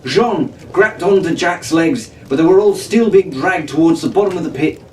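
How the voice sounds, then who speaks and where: A man narrates an adventure storybook in dramatic fashion, his voice a little higher than average. The room rings with echo, he sits back from the mic, and faint noise runs underneath. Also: expressive, normal speed, a little mellow, far from the mic. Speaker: storyteller reading aloud.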